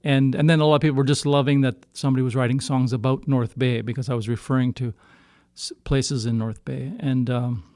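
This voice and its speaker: A middle-aged man from Canada speaks in a smooth, clear voice, captured close and dry. He tells his story casually, in a halting way, doubling back to reword himself as he goes. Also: medium pitch, flat delivery, darkish tone, no echo. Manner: halting, circling back to rephrase. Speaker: singer-songwriter guest. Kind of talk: casual storytelling in a podcast interview.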